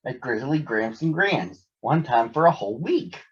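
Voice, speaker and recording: A man's voice: medium pitch, lively intonation, normal speed, lightly acted. A man voicing an eager little girl bear cub in a storybook, earnest and insistent. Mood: pleading. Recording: dull lo-fi sound.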